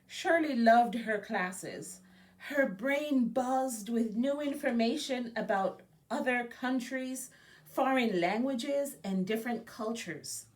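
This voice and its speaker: A woman reading a children's picture book aloud, phrasing deliberately and stressing key words. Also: a little high, recorded from a distance. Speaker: guest storybook reader.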